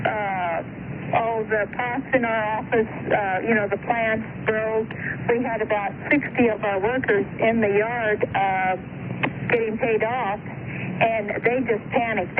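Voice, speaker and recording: Female eyewitness, high voice, spontaneous account, tinny noisy phone audio. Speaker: eyewitness interviewee.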